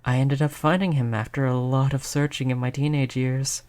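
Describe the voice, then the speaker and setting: A performer voicing a gentle, polite vampire in an intimate roleplay audio, speaking in a soothing, conversational way at a medium pitch. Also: little pitch movement, moderate pace, natural voice. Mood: friendly, reminiscing. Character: gentle, reassuring vampire, eighty years old, eternally polite. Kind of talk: roleplay audio drama, intimate one-on-one talk to listener.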